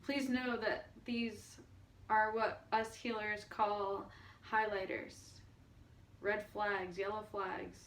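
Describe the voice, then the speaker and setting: A woman speaking as a spiritual healer and meditation guide, addressing the listener in a halting way with long pauses and drawn-out words. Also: medium pitch, little pitch movement, fairly bright tone. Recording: noticeable background noise.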